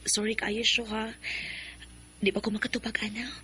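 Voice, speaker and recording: A woman's voice: a little high, flat delivery, moderate pace, sharp tone, a little hiss or hum. A woman playing a young woman who answers a tomboy suitor shyly and hesitantly in a radio drama. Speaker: storyteller narrator.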